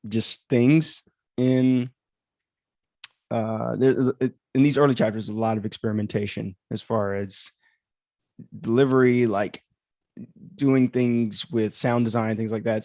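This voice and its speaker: A man's voice: mid-range voice, darkish tone, dry recording, studio quality. A man hosting a livestream in casual, rambling chat, commenting on his own audio drama and easily amused.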